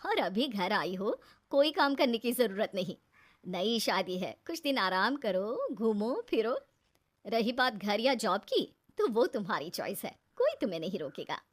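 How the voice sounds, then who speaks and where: A woman voicing a kind older mother-in-law in a cartoon story, easygoing, reassuring and gently insistent. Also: medium pitch, big pitch swings, unhurried.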